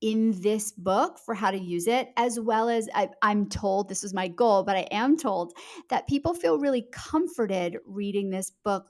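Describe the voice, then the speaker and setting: A woman's voice: mid-range voice, darkish tone. A woman speaking as a guest author, explaining in a conversational interview style, fluent and emphatic, addressing the listener directly.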